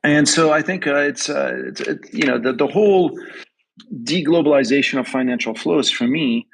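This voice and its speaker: A man's voice: on the high side, moderate pace, gravelly, slight background noise, rough recording. A man from France with a slight French accent, answering interview questions thoughtfully and often repeating words as he thinks aloud. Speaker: guest investment strategist.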